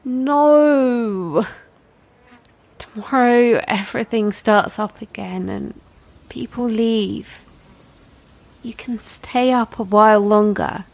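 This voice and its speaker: A woman playing a gentle, attentive companion in a naturalistic audio drama, speaking quietly and asking questions. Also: on the high side, gravelly, heavily acted. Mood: quiet, tender. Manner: quiet, unhurried, inquisitive. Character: gentle, attentive companion coaxing a friend's story.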